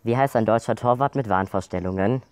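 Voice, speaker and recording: A man's voice: fairly low pitch, fairly quick, a little mellow, slight background noise. A man in his twenties chatting and telling jokes casually outdoors, blurting sudden vocal tics and swear words. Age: 20s. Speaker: video channel co-host. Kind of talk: casual joke-telling chat. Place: outdoor garden by a pool.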